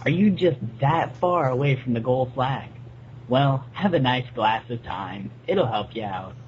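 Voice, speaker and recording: A man's voice: mid-range voice, expressive, unhurried. A young man talking casually as a co-host of a group podcast. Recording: dull lo-fi voice chat, faint noise.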